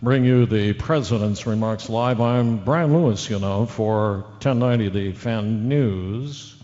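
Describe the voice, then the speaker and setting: An American man playing an earnest, slightly muddled radio newsman in an audio drama, delivering updates haltingly. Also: on the low side, mellow, close to an everyday voice. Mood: dry, polite. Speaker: news reporter. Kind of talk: radio drama news bulletin. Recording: dull audio with long reverb.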